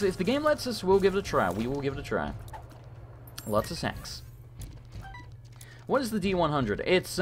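A man commentating a live gameplay stream, hoarse and losing his voice, talking frantically and swearing often. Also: mid-range voice. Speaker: gaming streamer.

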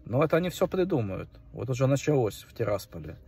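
A man's voice: mid-range voice, big pitch swings, quick pace, dark tone, husky. A middle-aged man recording a rambling, preachy monologue to a phone in a car at night, with many fillers. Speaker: vlogger. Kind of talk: casual monologue to camera. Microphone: phone held at arm's length. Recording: phone mic, distant, faint car noise.

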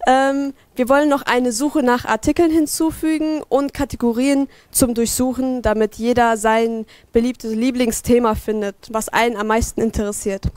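A woman's voice: on the high side, fairly even intonation, moderate pace, hardly any pauses, darkish tone, no echo. A teenage girl presenting her team's next steps on a handheld mic, with some fillers. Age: teens. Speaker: student presenter. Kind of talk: reading presentation slides.

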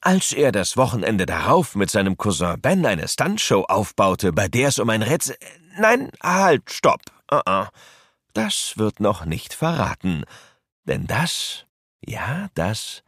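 Children's audio drama narrator: teasing, animated, slightly low, builds suspense. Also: male voice, takes it slowly, slightly rough, no echo, studio quality, heavily acted. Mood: playful teasing. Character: playful male storyteller for children, teasing.

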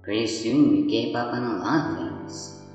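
A man playing a gentle, remorseful talking flower in a comic dub, hesitant, earnest and reassuring. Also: medium pitch, normal speed, close to an everyday voice. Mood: earnest, kind. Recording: cavernous reverb over background music.

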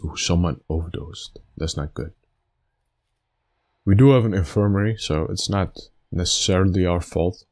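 A man with a slightly raspy, stuffed-up voice giving casual gameplay commentary, thinking aloud in a halting way. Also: sits low, a little mellow. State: stuffy nose after being sick. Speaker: gaming video host.

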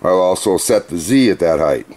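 A middle-aged man in a workshop, walking through a machine's controls in an unhurried, methodical, plain-spoken way. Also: deep voice, lively intonation, darkish tone. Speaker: CNC machine demonstrator. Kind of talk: hands-on equipment demonstration. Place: workshop beside a CNC router.

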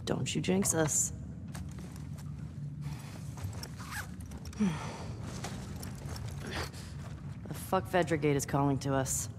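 A woman's voice: low voice, normal speed, background noise, close to an everyday voice. A young American woman acting a guarded, tough survivor in a game cutscene, speaking in a terse, understated, blunt way. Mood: wary, dry. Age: young adult.

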